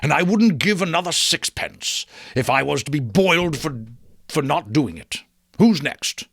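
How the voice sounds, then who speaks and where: A man's voice: a little high, animated, measured pace, creaky, a bit bright, husky, no echo, clean studio sound, voice pushed up, exaggerated voice. A man voicing a crafty, jovial old rag-shop dealer in a storybook reading, with a hearty, wheedling manner. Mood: haggling, grudging. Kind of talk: dramatic audiobook character voice.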